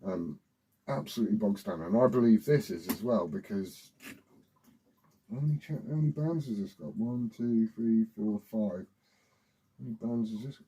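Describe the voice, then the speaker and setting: A British man with a husky voice rambling informally and swearing as he hosts a casual hobby vlog. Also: lowish voice, lively intonation, normal speed, dark tone, low-quality recording. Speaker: CB radio hobbyist video host. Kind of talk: casual rambling chat to camera.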